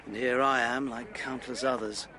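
An actor playing a weary, bitter English novelist in a period radio drama, speaking formally and with irony. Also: male voice, medium pitch, fairly even intonation, moderate pace, background noise, lightly acted. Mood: despairing. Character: struggling, weary, bitter male novelist and husband.

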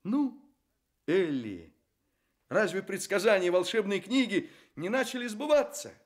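An actor playing a courteous, playful storyteller with theatrical, drawn-out emphasis in a children's radio play. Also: male voice, sits high, sing-song, heavily acted. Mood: soothing, grand. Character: courteous, playful male storyteller addressing fairy-tale characters. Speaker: storyteller and host.

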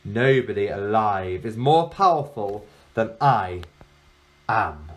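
A man's voice: lowish voice, animated, slow pace. A man reading a storybook, voicing an ancient enchantress who looks like a young girl, imperious and falsely innocent, drawing out her words. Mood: boastful.

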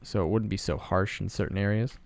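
Low, creaky man giving a tutorial. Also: steady tone, fairly quick, darkish tone. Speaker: sound designer, tutorial presenter. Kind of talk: casual screen-recorded tutorial narration.

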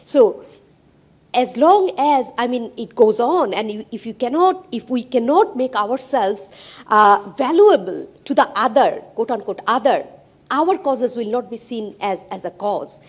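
A woman's voice: on the high side, lively intonation, a little slow, slightly rough. A middle-aged woman, a professor speaking on a roundtable panel, emphatic, with many fillers, into a handheld mic in a conference hall. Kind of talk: roundtable discussion.

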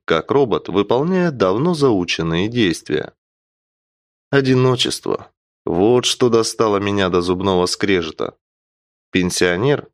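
A man's voice: lowish voice, no room sound, clean studio sound. A man narrating an audiobook in the first person with a wry, theatrical storytelling delivery.

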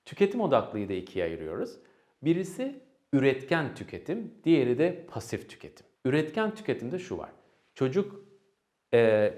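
A man giving an educational talk to parents, didactic, often posing questions and answering them himself. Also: on the high side. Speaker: parenting educator presenting advice. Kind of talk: explanatory educational presentation to parents.

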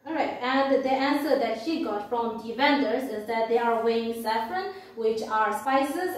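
A young woman with an Indian accent teaching an English lesson in a classroom, explaining in a halting, repetitive way and reading aloud. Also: fairly high pitch. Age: young adult. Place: indoor classroom at a blackboard. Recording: very echoey room, distant microphone.

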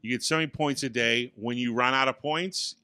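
A man's voice: medium pitch, a little fast, bright, forceful tone, smooth, no room sound. 40s guy cohosting a tech podcast, easygoing and joking about himself, dry close studio mic. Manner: easygoing, self-deprecating storytelling. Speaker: podcast co-host. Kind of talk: casual tech podcast chat. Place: small home studio with acoustic panels. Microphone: studio mic on boom arm.

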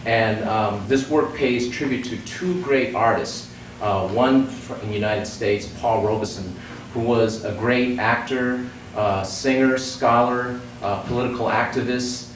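A man in his forties giving a talk at a podium in a hall, halting with many fillers and restarts. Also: mid-range voice. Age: 40s. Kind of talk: lecture at a podium. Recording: roomy distant hall recording with noise.

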